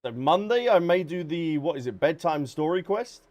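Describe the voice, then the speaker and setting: A man's voice: fairly high pitch, expressive, moderate pace, darkish tone, muffled recording. A man giving casual, chatty livestream commentary on a game, talking straight to his viewers. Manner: chatty, addressing viewers directly. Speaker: game streamer. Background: game music.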